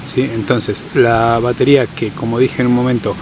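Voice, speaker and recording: A man's voice: medium pitch, normal speed. A man giving an informal, didactic tutorial, often checking understanding with short questions. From Argentina. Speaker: outdoor guide giving a tutorial. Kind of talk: informal instructional explanation. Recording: clear steady ambient background noise.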